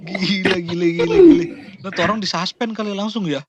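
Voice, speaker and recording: A man's voice: high-pitched, very animated, a little fast, highs cut off, very noisy. A man hosting a podcast interview. He chats casually, exclaims often and laughs a lot. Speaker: podcast host.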